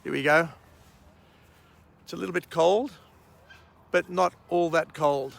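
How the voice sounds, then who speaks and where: Croaky slow-talking Australian man outdoors. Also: a little high, steady tone, a bit bright. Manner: slow, rambling, frequent uh and um. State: croaky voice after a night of drinking. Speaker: vlog host. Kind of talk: outdoor morning vlog and book summary. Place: outdoors at a vineyard at sunrise.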